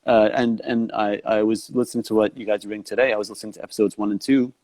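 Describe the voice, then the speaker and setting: A man hosts an online talk show with a low voice and a dry, close sound. He is enthusiastic and doubles back on his words as he talks. His audio comes through a video call. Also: little pitch movement, quick pace, a little mellow, no echo. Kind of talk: casual interview chat.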